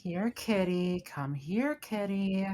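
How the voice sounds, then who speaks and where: A woman's voice: mid-range voice, lively intonation, slow, dark tone, slight background noise. A woman reading the part of a tough, suspicious, decisive ship's officer in a script table read, firm and blunt. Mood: coaxing, exasperated.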